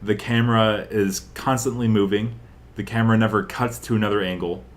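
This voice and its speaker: Man, low voice, podcast co-host, casual chat, rambling, thinks out loud. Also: steady tone, brisk. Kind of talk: casual chat about games and films.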